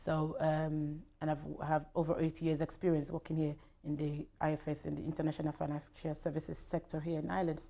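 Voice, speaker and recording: A woman's voice: sits low, completely flat delivery, a little fast, gravelly, hardly any pauses, mellow. A middle-aged Nigerian woman, a native Yoruba speaker, answering interview questions conversationally in an emphatic, repetitive way. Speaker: talk show guest, investment banker.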